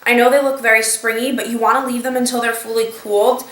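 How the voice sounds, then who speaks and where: A woman's voice: on the high side, flat delivery, quick pace, a bit bright, recorded from a distance. Chatty young woman with a slight cold. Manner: chatty, explains step by step with many fillers. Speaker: beauty vlogger. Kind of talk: casual talk-through tutorial. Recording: echoey room, voice a bit off-mic.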